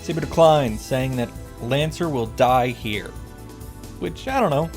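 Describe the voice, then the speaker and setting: A man's voice: medium pitch, animated, unhurried. A man delivering a scripted comedic recap commentary in a wry, profane, mock-grandiose manner. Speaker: visual novel reactor and narrator. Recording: background music under the voice.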